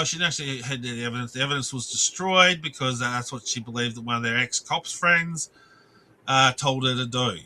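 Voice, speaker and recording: A man's voice: medium pitch, sharp tone, low-quality recording. A man ranting on a live stream, rambling and restarting his sentences, swearing and breaking off to greet viewers in the chat.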